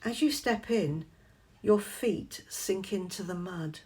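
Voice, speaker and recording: Female meditation guide, medium pitch, very unhurried scripted delivery, gentle and measured.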